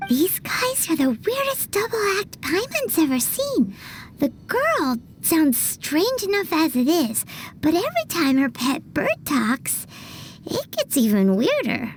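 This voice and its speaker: A tiny game fairy with an extremely high, squeaky, childlike voice. She is chatty and blunt, swinging around in pitch as she takes her unhurried time. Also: sing-song, a little hiss or hum, exaggerated voice. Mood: incredulous. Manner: blunt, refers to self in third person. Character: tiny chatty excitable fairy-like girl companion. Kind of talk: anime video game voice acting.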